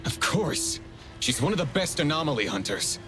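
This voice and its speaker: An actor playing an excitable, loyal young hunting partner in a game, speaking emotionally and protectively. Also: male voice, on the high side, moderate pace, music in the background. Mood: defensive. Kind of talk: game character dialogue.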